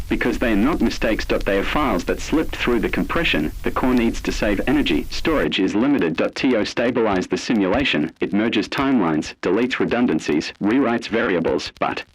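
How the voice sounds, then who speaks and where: A man with a fairly low, noticeably husky voice narrates a scripted horror piece. His delivery is solemn and deliberate, with an ominous tone. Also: rough recording.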